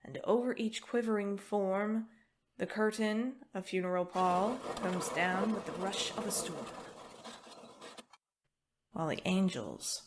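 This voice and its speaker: A woman performing dramatic Gothic poetry as a grave, ominous reciter, theatrical and drawn-out with menacing drops into whispers. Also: mid-range voice, lightly acted. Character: grave, ominous female reciter of macabre verse. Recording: clear background noise.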